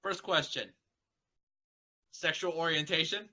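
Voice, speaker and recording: A man's voice: a little high, a little slow, pushed and bright. A man voicing an earnest, exasperated female pony scientist in a clinical, dramatically indignant way. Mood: testy.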